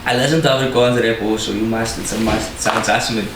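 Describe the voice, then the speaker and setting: Laid-back Cape Town rapper, echoey room. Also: male voice, on the low side, fairly even intonation, fast, background noise. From South Africa. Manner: laid-back, slangy, laughs often. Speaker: guest rapper. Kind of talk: casual podcast interview.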